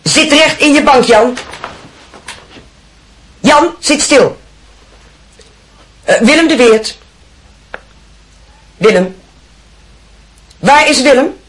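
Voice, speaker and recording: This is a strict female schoolteacher in a radio play. She speaks slowly and deliberately, and her pitch rises and falls a great deal as she calls out names and scolds pupils. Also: lively intonation, full character voice, poor audio. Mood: stern. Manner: firm, scolding classroom tone. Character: strict female classroom teacher, stern but patient. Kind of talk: radio drama acting.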